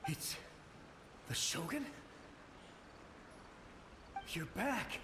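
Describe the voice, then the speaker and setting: A devout, shaken samurai soldier for a video game. His high voice is fervent and pleading, breaking into halting phrases. It echoes, with clear ambient noise behind it. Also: sing-song, exaggerated voice. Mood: desperate pleading. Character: desperate, devout male samurai soldier, a ghostly memory. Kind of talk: dramatic video game voice acting.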